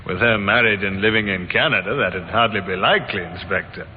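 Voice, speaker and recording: An actor playing an outwardly easygoing, evasive English warehouse manager under questioning in an old-time radio crime drama. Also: male voice, fairly low pitch, brisk, slight background noise, close to an everyday voice. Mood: casual, guarded. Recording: muffled, reverberant old radio recording.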